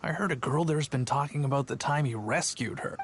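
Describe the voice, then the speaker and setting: An actor playing a chatty, somewhat cowardly young city guard in a video game. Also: male voice, medium pitch, fairly quick, slight background noise, natural voice. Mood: defensive. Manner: chatty and boastful, a bit sheepish.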